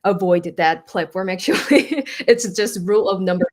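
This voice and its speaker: A woman with a Korean accent and a medium-pitched voice speaks as an expert guest in an interview. She is a native Korean speaker, explaining practically in English and searching for words as she works through examples. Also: expressive, normal speed. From South Korea.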